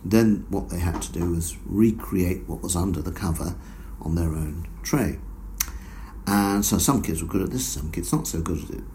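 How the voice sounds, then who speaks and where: Male researcher guest, very low gravelly voice, dark tone, measured explainer with ums. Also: a little hiss or hum. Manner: measured, explanatory, many fillers. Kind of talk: academic interview answers.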